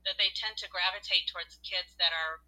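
Middle-aged woman, guest mom, playful and chatty, lowish pitch, very bright tone. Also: fairly even intonation, fairly quick, rough recording. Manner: playful, self-aware, chatty. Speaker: host's mother, guest. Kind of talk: casual family chat.

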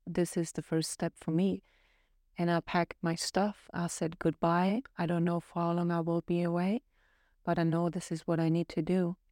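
Woman host, German native, low flat mellow voice, dry close sound, earnest and reflective. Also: moderate pace, no room sound. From Austria. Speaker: podcast co-host. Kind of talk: casual podcast conversation.

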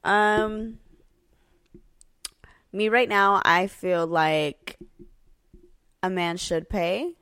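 A woman's voice: medium pitch, fairly even intonation, extremely slow, pauses often, fairly bright tone, clean tone, no echo, clean studio sound. A woman co-hosting a podcast, chatting bluntly and swearing freely. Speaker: podcast co-host. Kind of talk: casual chat.

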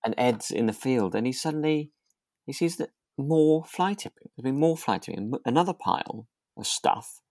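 A man's voice: medium pitch, lively intonation, normal speed, darkish tone, no echo, clean studio sound. A man co-hosting a podcast, casually discussing a drama and qualifying his points as he goes. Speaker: podcast co-host. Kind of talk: relaxed conversational discussion of a radio drama.

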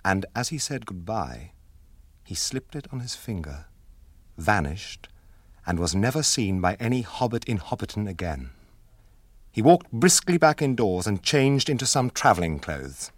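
A man's voice: on the low side, big pitch swings, a little slow, no room sound. A man reading scripted story narration as the narrator of a radio drama.

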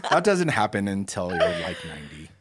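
A man's voice: fairly low pitch, huge pitch swings, normal speed, very noisy. A man in his thirties, a podcast co-host with dry, teasing humor in casual chat. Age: 30s.

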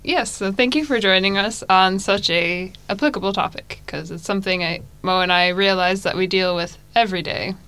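A young woman co-hosting a casual podcast, playful and quick to laugh. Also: medium pitch, sharp tone, clear, resonant tone, no echo. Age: young adult. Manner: playful, giggly. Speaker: podcast co-host.

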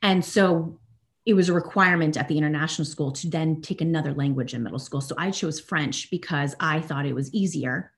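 Native Italian speaker, woman in her 30s, lowish voice, animated casual guest. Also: a little fast. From Germany and Italy. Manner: chatty, animated, self-deprecating, repeats words when restarting. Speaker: podcast guest. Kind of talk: casual interview chat.

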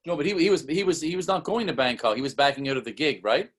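A man's voice: mid-range voice, lively intonation, fast. A man in casual conversation, agreeable and often chiming in with affirmations as he talks with an old bandmate.

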